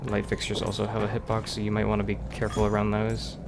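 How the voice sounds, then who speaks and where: Low-voiced man grumbling over loud music. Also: completely flat delivery, quick pace, gravelly. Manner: profane, exasperated grumbling. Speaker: video game livestreamer. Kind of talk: casual gaming commentary, muttering to himself.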